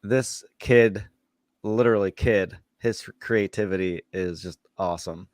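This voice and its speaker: A man speaks in a lowish voice at an unhurried pace as a podcast co-host. His casual talk is halting and informal, recorded dry with little room sound. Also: a little mellow, no echo. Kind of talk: casual chat about horror series.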